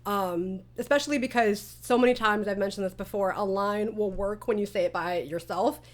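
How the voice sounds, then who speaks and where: A woman's voice: medium pitch, moderate pace. Chatty woman hosting a casual interview. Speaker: audio drama creator and interview host.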